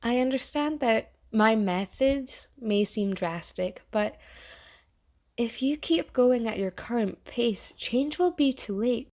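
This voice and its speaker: A woman's voice: on the high side, expressive, slow pace, a little mellow. Chummy, coaxing AI in your head played by a woman. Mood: earnest, pressuring. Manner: chummy, casual, coaxing, passive-aggressive. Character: chatty, falsely friendly AI living in someone's mind, quietly menacing. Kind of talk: audio theatre monologue addressed to the listener.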